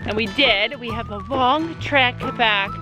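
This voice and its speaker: A woman's voice: fairly high pitch, measured pace. A woman in her forties from Canada, chatting upbeat to a handheld camera at home and at a horse barn as a family vlogger. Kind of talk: casual vlog narration. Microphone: handheld camera at arm's length. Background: background music.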